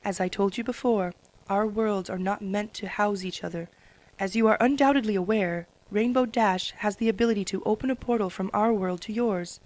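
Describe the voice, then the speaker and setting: A woman voicing a regal, solemn pony princess in a fan dramatic reading, speaking in a measured, formal way. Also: mid-range voice, darkish tone, no room sound, lightly acted, poor audio. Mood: grave. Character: regal, solemn female sun princess ruler.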